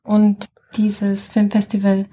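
A woman introducing herself as a studio guest on a radio show, in a light, relaxed way. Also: mid-range voice, slow, a little hiss or hum, poor audio. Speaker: programme coordinator, studio guest. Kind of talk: radio interview.

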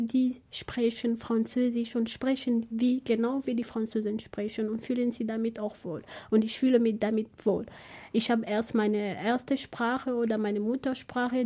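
Higher-voiced woman. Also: dark tone. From Gabon. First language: French. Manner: hesitant, searching for words with many fillers. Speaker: panel guest, language researcher. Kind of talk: panel discussion.

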